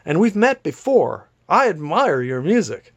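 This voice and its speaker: A man voicing a bashful, eager political candidate in a story, earnest and excitable. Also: a little high, measured pace, exaggerated voice.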